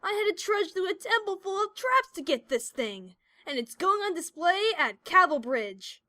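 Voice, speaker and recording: A woman's voice: very high voice, sing-song, moderate pace, sharp tone, no room sound, clean studio sound, full character voice. A woman voicing a brash, stubborn female pegasus adventurer in an audio drama, with a cocky, defensive delivery. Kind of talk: cartoon-like audio drama character voice.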